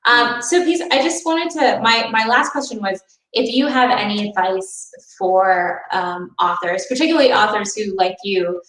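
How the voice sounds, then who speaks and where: A woman's voice: medium pitch, expressive, normal speed, a bit bright. Brief: woman moderator, curious and friendly, echoey room, sits away from the mic. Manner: friendly, curious, many fillers. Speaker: interviewer host. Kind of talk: moderating a book talk. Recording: roomy, distant video call audio.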